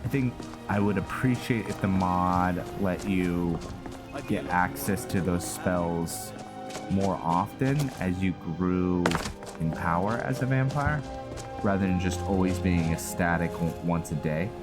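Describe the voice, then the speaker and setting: A man's voice: low-pitched, a little slow. A man giving casual roleplay game commentary, muttering and thinking aloud as he goes. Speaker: gameplay host. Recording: loud background music. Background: loud music.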